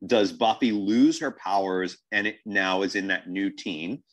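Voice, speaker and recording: Low-voiced man, halting and wordy. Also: dull audio. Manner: halting, wordy, speculative questioning. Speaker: podcast host. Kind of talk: casual rambling podcast discussion.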